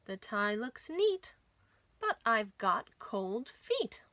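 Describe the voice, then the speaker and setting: A woman hosting a children's storytime, reading picture books aloud in an expressive, sing-song way. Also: on the high side, slow pace, no room sound. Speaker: children's library storytime host. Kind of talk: animated picture-book read-aloud for young children.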